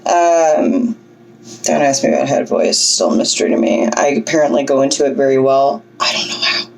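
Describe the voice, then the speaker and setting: A woman with a low voice giving a casual, chatty breathing lesson, often checking in with "okay?". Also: normal speed, gravelly, recorded from a distance, poor audio. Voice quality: low singing voice. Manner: chatty, self-deprecating, coaching with frequent "okay?" checks. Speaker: vlogger teaching breathing. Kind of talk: casual instructional vlog.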